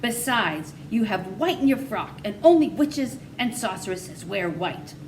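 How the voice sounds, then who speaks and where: A woman's voice: medium pitch, animated, unhurried, natural voice. A woman voicing a kindly, respectful rich farmer man in a storybook reading. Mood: impressed. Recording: noisy, distant microphone pickup.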